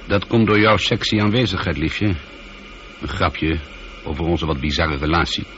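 A man's voice: sits low, normal speed, a bit bright. A man playing a wry space pilot in a science fiction radio drama, talking in a dry, informal, conversational way. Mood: teasing. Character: male space pilot, wry, teasing, easily irritated but apologetic. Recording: dull, lightly noisy old radio recording.

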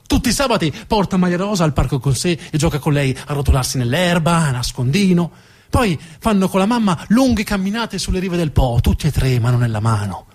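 High-pitched male theatrical storyteller. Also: sing-song, brisk, darkish tone. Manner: dramatic, repeats words for emphasis. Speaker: stage actor and narrator.

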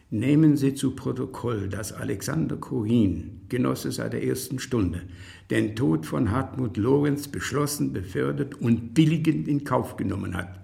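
An actor playing an embittered, unrepentant older communist in a radio drama, husky and gravelly, speaking slowly, deliberately and formally. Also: male voice, mid-range voice, darkish tone, dry recording, heavily acted. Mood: defiant, cold. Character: embittered old communist comrade confessing, resolute and unrepentant. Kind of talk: radio drama monologue and dialogue.

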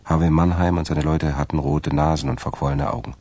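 A man with a gravelly voice narrating a German radio crime drama from a script. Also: a bass voice, steady tone, fairly quick, warm tone.

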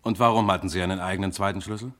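An actor playing a composed police inspector in a German radio crime drama, questioning suspects with pointed insistence. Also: male voice, low voice, fairly even intonation, very fast, a bit bright. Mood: probing, pointed.

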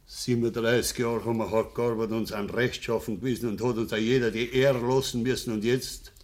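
Male actor, old peasant father, Bavarian dialect, medium pitch, gruff, terse, proud, embittered, radio play. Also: level tone, brisk, lightly acted. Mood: bitter, agitated. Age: older. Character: old hardworking farmer, proud, bitter, ashamed father. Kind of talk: naturalistic radio drama acting.

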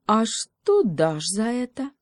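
A woman's voice: on the high side, very animated, slow pace, no room sound, studio quality, pitched above the performer's own voice. A woman voicing a sly male hedgehog in a children's story, speaking with mock gravity and teasing deliberation. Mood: commanding.

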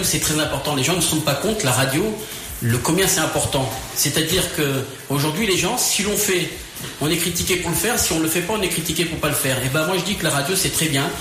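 An earnest man, a musician on a radio show, repeats himself and restarts often. His slightly high voice rings in a very echoey room over a faint background hiss. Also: fairly bright tone. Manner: earnest, repetitive, stammering restarts. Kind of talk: casual radio chat.

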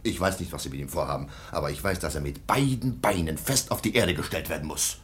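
An actor playing a stern, proud baron and anxious guardian in a German radio drama, speaking with formal, indignant courtesy. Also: male voice, deep voice, fast, a bit bright, slight background noise.

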